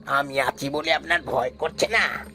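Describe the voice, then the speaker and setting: Male actor as old ghost carter, cartoon; bright, slightly high voice; slow, quiet menace. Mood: ominous. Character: eerie old male cart driver ghost, calm and knowing. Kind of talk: cartoon voice acting.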